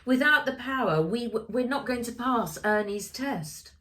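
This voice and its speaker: A woman voicing an eager, capable young girl in a children's storybook, with a lively, can-do manner. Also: fairly high pitch, unhurried, pitched above the performer's own voice. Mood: urgent.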